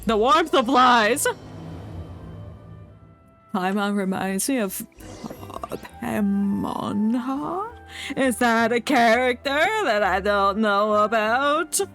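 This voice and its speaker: A woman's voice: a little high, extremely slow, fairly bright tone. A woman streaming a game, giving casual, sarcastic and jokey live commentary. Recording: game music behind the voice.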